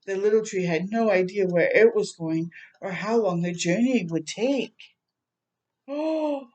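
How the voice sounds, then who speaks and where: A woman reading a picture book aloud to young children in a gentle, expressive storytime manner. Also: fairly high pitch. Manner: gentle, expressive, prompting listeners with questions.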